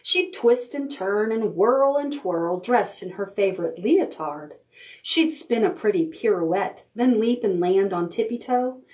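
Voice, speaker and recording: A woman reads to preschoolers at storytime in a mid-range voice. Her pace is slow and measured, with rhythmic phrasing and stretched-out words. She sounds like a children's librarian working through a picture book. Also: mid-range voice, poor audio. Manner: expressive, rhythmic reading with drawn-out words and chanted sound words.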